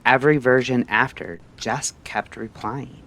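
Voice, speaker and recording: A man narrating a scripted story like a late-night radio host, in a measured, theatrical way with short phrases and pauses. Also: mid-range voice, fairly even intonation, fairly bright tone, a little hiss or hum.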